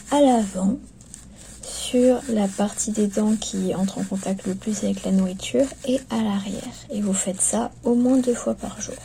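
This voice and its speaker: A woman speaks softly in an ASMR roleplay as clinic staff, often slipping into a whisper, with gentle, hesitant, attentive patter. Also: medium pitch, gravelly. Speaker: ASMR performer playing skeleton clinic staff. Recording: lo-fi recording with background noise.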